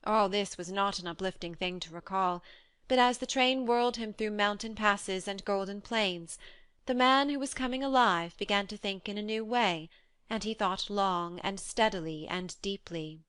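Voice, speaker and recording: A woman narrating an audiobook in a measured, expressive storytelling voice. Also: medium pitch, clear, resonant tone, dry recording. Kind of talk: audiobook dramatic reading.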